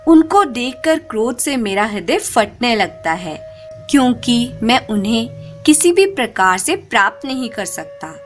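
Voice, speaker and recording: An imperious storybook king, voiced by a woman narrator, discontented and quick to scold. The delivery is slow and impatient in tone, with animated pitch over background music. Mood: angry, scolding. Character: discontented, hot-tempered male king of a folk tale.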